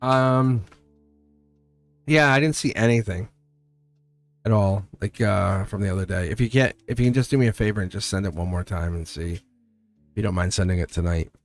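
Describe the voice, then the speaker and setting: A man's voice: lowish voice, normal speed, long pauses, no echo, faint music behind. A young man doing a casual, upbeat live tarot reading, talking to viewers in a chatty way and laughing often. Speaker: tarot reader.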